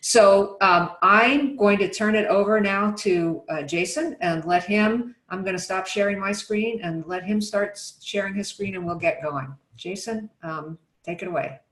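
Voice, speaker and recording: A woman's voice: mid-range voice, unhurried, few pauses, a bit bright, roomy sound, rough recording. An older woman moderating a webinar over a video call, hesitant and full of ums and uhs. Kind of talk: webinar introduction.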